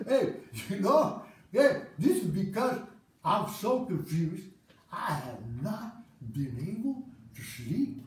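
A woman voicing a hearty, dreamy Rasta man who preaches peace in a slow, loud, drawn-out way on an outdoor festival stage. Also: on the high side, a little hiss or hum, pitched below the performer's own voice. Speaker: festival performer. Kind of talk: comic stage monologue, visionary speech. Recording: echoey stage sound from a distant mic.

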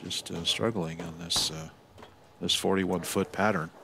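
A man doing live bowling commentary, giving measured analysis with excited calls on shots. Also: sits low, gravelly, noisy background. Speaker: sports commentator.

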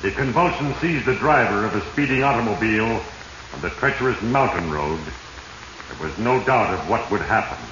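An actor playing Fate, a sinister male narrator of a 1940s radio drama, ominous and deliberate as he addresses his victim. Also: fairly low pitch, animated, dull audio, close to an everyday voice. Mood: menacing. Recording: echoey, noisy 1940s radio recording.

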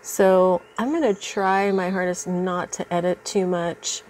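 A woman's voice: lowish voice, steady tone, a little slow, a little mellow, slight background noise, rough recording. Congested woman chatting over beading. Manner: chatty, thinks aloud with many ums. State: congested from allergies. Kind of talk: casual crafting tutorial. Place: indoors at a craft table.

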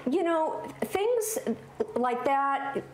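A woman in her forties speaks in a fairly high voice as a guest expert. She gives practical tips conversationally. Her voice echoes in the room, and there is faint noise in the background. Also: lively intonation, extremely slow. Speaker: professional organizer, guest expert. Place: large hard-surfaced laundry room indoors. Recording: roomy echo with background noise.

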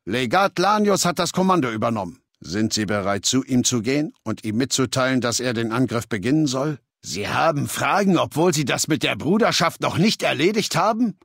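An actor playing a commanding, ruthless middle-aged warlord in a video game, authoritative and deliberate, with a gravelly, husky voice. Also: male voice, mid-range voice, expressive, fairly bright tone, no echo, studio quality, exaggerated voice. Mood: cool, commanding. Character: commanding, ruthless male warlord, leader of a legion. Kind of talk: dramatic video game voice acting, German dub. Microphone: close, dry studio recording.

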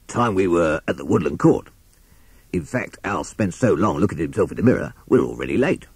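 A man voicing a reckless, scruffy, cheeky rat in a children's story, gruff and offhand in manner. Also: deep voice, sing-song, brisk, a little mellow, no room sound, heavily acted.

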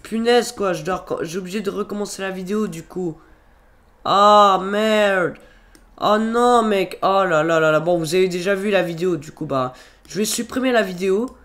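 A young man with a cold talks through a home computer tutorial, pitched slightly high. He rambles, restarts his sentences and fills pauses constantly, recorded on a computer microphone. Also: a bit bright. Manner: rambling, many fillers and restarts. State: has a cold. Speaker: tutorial youtuber. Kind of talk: casual screen-recorded tutorial.

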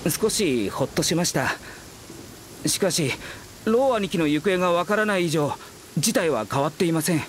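A woman's voice: lowish voice, expressive, normal speed, a little hiss or hum, natural voice. A woman playing an earnest, spirited young adventurer with a straightforward manner, in anime game style.

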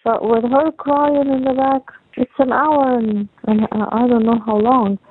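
A young adult woman chatting casually on a phone call, talking in a halting, rambling, repetitive way. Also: a little high, steady tone. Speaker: caller talking with partner. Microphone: telephone call. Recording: tinny phone line with faint noise.

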